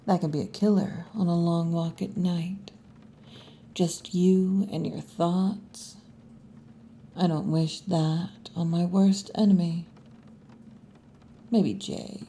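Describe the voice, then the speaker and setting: A wry, muscular tomboy wolf girl bartender, played by a woman in an ASMR roleplay. She teases in a low, mellow, breathy near-whisper and takes her time, with very long, slow phrasing. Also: slight background noise. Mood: relaxed, playful. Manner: slow, unhurried, wry and teasing.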